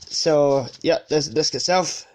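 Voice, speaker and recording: Guy, voice a bit high, sniffly with a cold, casually showing his collection, keeps correcting himself. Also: measured pace, darkish tone, a little hiss or hum. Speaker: collector presenting a DVD collection. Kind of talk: casual unscripted show-and-tell.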